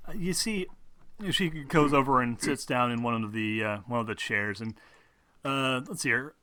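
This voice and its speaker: A man's voice: medium pitch, moderate pace, pushed and bright, slight background noise. A man running a tabletop game as game master, casual and teasing, with plenty of swearing.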